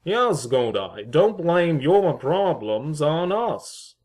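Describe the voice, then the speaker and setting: A man's voice: a little high, sing-song, slow pace, a little mellow, exaggerated voice. A man playing a pompous, needling, mock-polite neighbour with formal, theatrical phrasing in an amateur audio drama. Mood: chiding.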